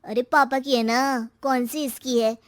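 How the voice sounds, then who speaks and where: A catty young woman friend from an animated moral story, put on by a woman, with a high voice. Her delivery is teasing and taunting. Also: measured pace, no room sound, clean studio sound.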